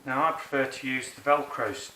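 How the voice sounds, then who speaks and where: A roomy, noisy recording of a man talking some way from the mic. He explains a practical job in a chatty, colloquial way, like an informal how-to video. His pitch is average for a man. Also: steady tone, a little fast, bright, forceful tone. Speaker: motorbike rider presenting a how-to video. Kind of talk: casual hands-on tutorial. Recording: roomy, distant mic, clear background noise.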